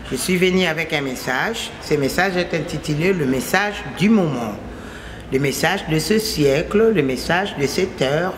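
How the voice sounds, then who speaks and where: A woman's voice: low-pitched, noisy background. Congolese woman giving religious testimony. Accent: Congolese French. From Kinshasa, Congo. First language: Lingala. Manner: repetitive, emphatic, phrases restated in lists. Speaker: testifying believer.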